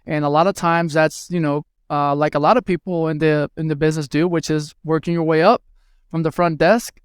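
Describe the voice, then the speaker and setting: A man's voice: a little high, fairly even intonation, fairly quick, smooth, no room sound, clean studio sound. A man in his thirties from Venezuela, a guest answering interview questions with many fillers and restarts. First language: Spanish. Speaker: guest, hospitality tech executive.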